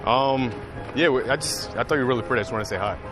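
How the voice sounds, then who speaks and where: A young man talks to strangers on a city street. He hesitates, restarts and fills his speech with likes and ums. His voice sits at a normal pitch, and loud music plays behind him. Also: very fast. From New York. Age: young adult. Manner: hesitant, filler-heavy, nervous laughs. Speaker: subscriber approaching strangers. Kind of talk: casual street chat. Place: city street and park, outdoors.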